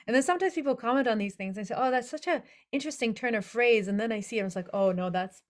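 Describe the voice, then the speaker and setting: A woman's voice: fairly high pitch, fast talker. A young adult woman author being interviewed, talking casually and thoughtfully with many fillers and restarts. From Netherlands. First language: English as first language, also Hebrew and Dutch.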